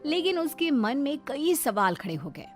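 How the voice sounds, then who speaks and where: A woman's voice: high voice, sing-song, normal speed. A woman narrating a scripted cartoon moral story. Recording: music behind the voice.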